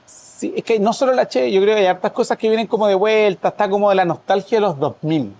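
A Chilean man, a musician guest on a podcast, chatting in a lively, slangy way and laughing often. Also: sits high, fast. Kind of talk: casual chat.

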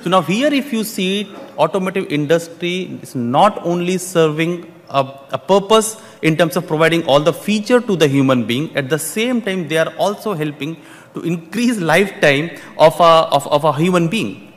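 A man in his thirties delivers a formal keynote at a podium microphone in a large conference hall. His voice sits a little high, and he often repeats a word or two as he restarts a phrase. The hall adds a long, lingering reverb. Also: expressive, moderate pace. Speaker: keynote speaker.

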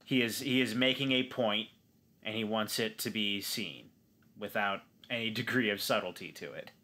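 A man's voice: fairly low pitch, moderate pace, sharp tone. A man playing a tabletop game, improvising his character's thoughts casually and emphatically, repeating phrases as he goes.

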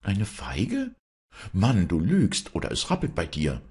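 A bossy, indignant lady of the manor from a storybook, acted out by a man. Her voice is pushed far up and swoops wildly as she scolds and screeches, with a husky edge. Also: fairly low pitch, big pitch swings, measured pace, voice pushed up, full character voice. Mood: angry. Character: shrill, bossy, indignant wife of a country squire. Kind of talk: dramatized storybook reading.

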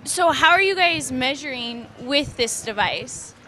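A woman's voice: sits high, animated, unhurried, pushed and bright. A woman in her twenties working as a student interviewer, asking prepared questions into a handheld microphone. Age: 20s. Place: large glass-walled university hall or lobby. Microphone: handheld interview microphone at arm's length.

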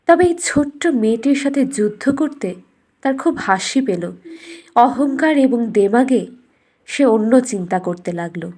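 A woman's voice: a little high, animated, a little slow, a little mellow. A woman storyteller reading a scripted fairytale narration for a cartoon bedtime story.

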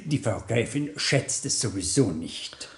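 An actor playing a stiff, flustered manservant in a 1960s radio play, speaking formally and hesitantly. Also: male voice, fairly low pitch, animated, unhurried, reverberant. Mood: sheepish. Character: stiff, flustered male manservant in a noble household.

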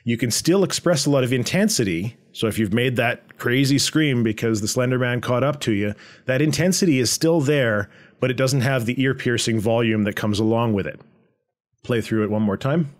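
A man presenting a software tutorial in a conversational, explanatory way with a medium-pitched voice. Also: moderate pace. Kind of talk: informal software tutorial narration.

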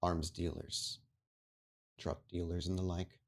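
A man playing a stern, commanding vampire prince in a roleplay game, speaking formally and with measured authority. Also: low voice, monotone. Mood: formal, businesslike. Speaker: game master. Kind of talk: tabletop roleplay acting.

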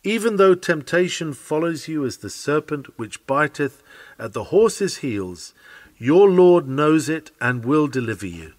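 Man, somewhat high pitch, oratorical sermon reading, earnest, very dry recording. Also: sing-song, unhurried, no room sound. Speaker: sermon reader.

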